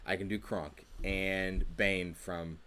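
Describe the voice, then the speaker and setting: A young man co-hosting a church youth show, running a movie quiz in a relaxed, teasing, slangy way. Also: sits low, takes it slowly, slight background noise. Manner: laid-back, teasing, slangy. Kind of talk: casual chat, quiz hosting.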